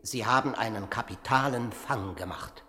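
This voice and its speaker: An actor playing a formal, congratulatory district prosecutor in a 1960s radio comedy, recorded with echo. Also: male voice, fairly low pitch, normal speed, pushed and bright. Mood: solemnly pleased. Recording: long echoing hall reverb.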